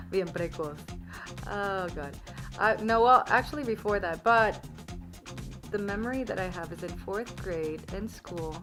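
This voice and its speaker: A woman's voice: mid-range voice, expressive, measured pace, music in the background. A woman chatting casually in English with bits of Spanish, friendly and easygoing while telling a story. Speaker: makeup model. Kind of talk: casual chat.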